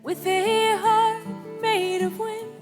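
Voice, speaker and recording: A woman's voice: very high voice, level tone, slow pace. A woman singing her own songs as an acoustic singer-songwriter. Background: loud guitar accompaniment.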